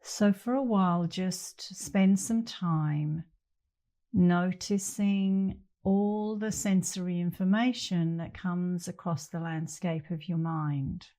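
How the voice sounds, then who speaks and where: A middle-aged woman guides a meditation in a soft-edged, relaxed voice. She takes her time, letting long pauses sit between short, gentle phrases. Her pitch sits a little low. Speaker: meditation instructor.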